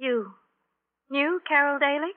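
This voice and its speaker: An actress playing a protective, suspicious wife in a radio crime drama, curt and imperious. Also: female voice, high-pitched, measured pace. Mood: hurt, accusing. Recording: muffled old radio broadcast recording.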